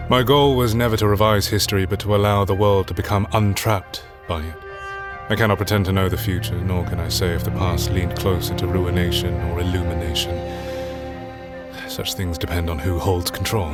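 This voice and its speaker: An actor playing a solemn, weary scholar and historian, speaking a formal, reflective monologue in a fiction podcast. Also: male voice, low voice, fairly even intonation, moderate pace, natural voice. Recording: voice under prominent music.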